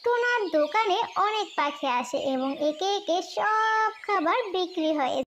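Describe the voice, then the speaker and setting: A woman's voice: very high-pitched, lively intonation, measured pace, a bit bright, slight background noise. A woman narrating a scripted fairy tale for children as a storyteller.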